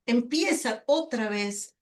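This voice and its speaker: A woman with an Argentine accent giving a spontaneous panel presentation, emphatic and deliberate, repeating words as she builds her point. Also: on the high side, lively intonation. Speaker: panelist, health-rights lawyer.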